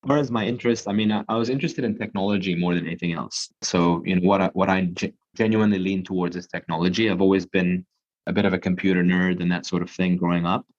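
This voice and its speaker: Low-voiced man, measured and explanatory. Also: steady tone. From Dubai. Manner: measured, explanatory, with frequent restarts. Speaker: guest, surgeon. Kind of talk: podcast interview answers. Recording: dull lo-fi sound.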